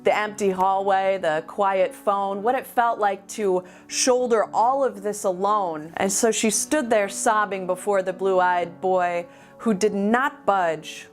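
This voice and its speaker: A woman performing spoken-word slam poetry with deliberate, dramatic phrasing and pauses. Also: mid-range voice, steady tone. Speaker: amateur slam poet. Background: music.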